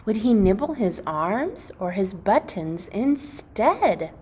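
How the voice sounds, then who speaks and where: A woman reading a storybook aloud to children, expressively and deliberately, phrase by phrase. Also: medium pitch, very animated, darkish tone, husky.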